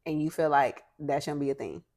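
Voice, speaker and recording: A young woman with a fairly low voice leads the conversation as a podcast co-host. She talks in an animated way, asks questions and laughs often. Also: brisk. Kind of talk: casual chat.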